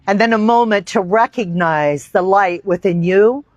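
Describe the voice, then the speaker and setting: A woman teaching yoga, leading a guided meditation in deliberate, reverent phrases with long pauses. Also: mid-range voice, dry recording, muffled recording. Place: outdoor park.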